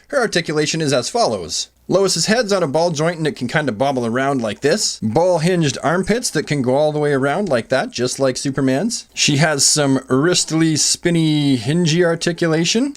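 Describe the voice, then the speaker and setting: High-pitched man, chatty toy reviewer. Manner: chatty, emphatic, playful wording. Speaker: host of a toy review channel. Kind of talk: informal scripted review voice-over.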